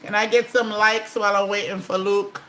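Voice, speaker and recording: A woman's voice: medium pitch, level tone, a little fast, a bit bright, a little hiss or hum, rough recording. A woman casually hosting a live stream, chatting and reading viewer comments aloud.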